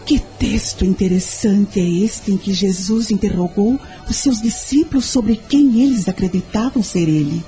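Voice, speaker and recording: A woman's voice: mid-range voice, a little slow, few pauses, dark tone, faint music behind, lightly acted. A woman playing a tender, earnest young mother in an audio drama, grateful and devoted. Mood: absorbed, thoughtful.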